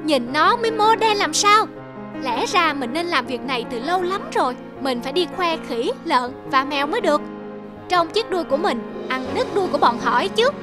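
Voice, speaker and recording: A very high, childlike squirrel voice for a children's cartoon. It is eager, vain and full of boastful exclamations. Music plays behind it. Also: female voice, expressive, brisk. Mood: boastful, delighted. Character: vain, eager young squirrel following fashion.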